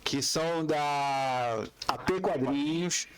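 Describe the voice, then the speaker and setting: A man's voice: on the high side, slow pace, smooth. A man hosting a podcast interview in casual, conversational Portuguese. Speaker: podcast host.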